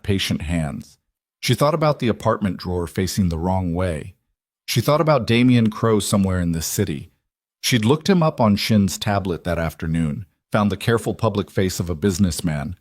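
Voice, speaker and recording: A man reading scripted dramatic fiction as an audiobook narrator, measured and deliberate in short phrases. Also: lowish voice, darkish tone, no room sound, clean studio sound.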